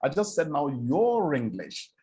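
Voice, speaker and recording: A Nigerian man whose first language is Igbo lectures on English pronunciation. His voice sits slightly high and swings widely in pitch. He talks at an unhurried pace, repeating words as he works through examples. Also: big pitch swings, warm tone. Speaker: presentation coach. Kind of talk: spoken lecture on English diction.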